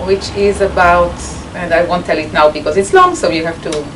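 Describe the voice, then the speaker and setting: An older woman gives a personal introduction in halting English. She is picked up from a distance, with clear noise around her. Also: on the low side, fairly even intonation. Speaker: retired educator and peace activist.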